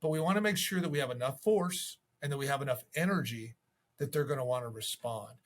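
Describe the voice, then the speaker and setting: A man's voice: medium pitch, fast talker. A man giving instructional sales tips as a coach, in a conversational manner. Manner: conversational, acts out sample calls. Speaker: real estate sales coach.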